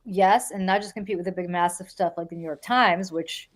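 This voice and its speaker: A middle-aged woman hosting a podcast in casual political chat, blunt and animated, often repeating words for emphasis. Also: on the low side, a little fast. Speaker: podcast host.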